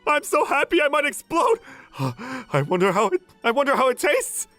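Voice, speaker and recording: A woman's voice: fairly high pitch, moderate pace, heavily acted. A woman voicing a flustered, lovestruck young working man who gushes and stammers in a manga dub. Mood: giddy, gushing. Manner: excitable, stammering, gushing. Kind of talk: manga dub voice acting with narration. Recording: music under the voice.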